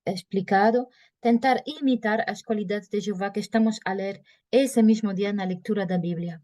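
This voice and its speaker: Soft-toned woman, halting, dry sound. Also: medium pitch, no room sound. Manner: halting, searches for words. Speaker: congregation member commenting by video call. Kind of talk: spoken comment at a religious study meeting. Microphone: video call.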